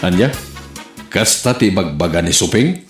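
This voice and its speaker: A man acting an animated, disgruntled Ilocano husband. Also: low voice, normal speed. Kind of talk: Ilocano comedy radio drama. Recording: roomy echo, music underneath. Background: music.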